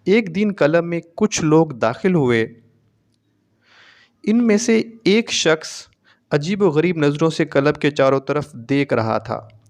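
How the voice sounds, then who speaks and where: A man reading a scripted, emotional first-person story as a narrator. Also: on the high side.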